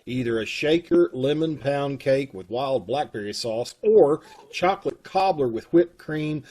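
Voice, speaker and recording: A man's voice: medium pitch, expressive, unhurried, mellow. A man with a Kentucky accent, leading a theater troupe and making casual, rambling announcements over a video call. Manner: folksy, rambling, with fillers.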